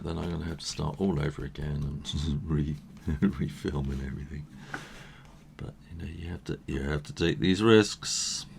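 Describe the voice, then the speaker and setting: A man with a tired, croaky voice, thinking aloud with soft chuckles as he teaches drawing and painting. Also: very deep voice, slight background noise. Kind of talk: casual drawing tutorial narration.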